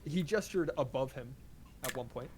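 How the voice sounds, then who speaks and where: A man narrating as game master in a relaxed, filler-heavy way. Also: mid-range voice, moderate pace, a crowd in the background.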